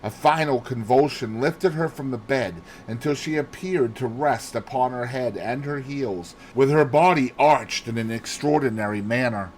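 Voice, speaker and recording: A man reading a classic mystery novel aloud as a narrator, in an expressive, theatrical manner. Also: mid-range voice, normal speed, few pauses, slight background noise, low-quality recording. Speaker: audiobook narrator.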